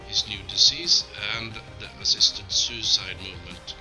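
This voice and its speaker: A Norwegian man, Norwegian his first language, giving a slow, measured, solemn spoken tribute with small self-corrections. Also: lowish voice, bright, forceful tone, rough recording. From Norway. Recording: clear music bed behind the voice.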